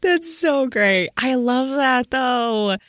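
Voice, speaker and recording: A woman's voice: sits high, lively intonation, takes it slowly, fairly bright tone, dry recording. A woman hosting a casual podcast interview in an informal, encouraging way.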